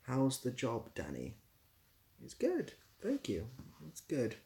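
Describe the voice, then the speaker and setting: A man's voice: mid-range voice, huge pitch swings, slow, darkish tone. A young British man chatting casually on a livestream, rambling a little and dryly playful as he responds to viewers. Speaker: live streamer. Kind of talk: casual livestream chat, answering viewer comments.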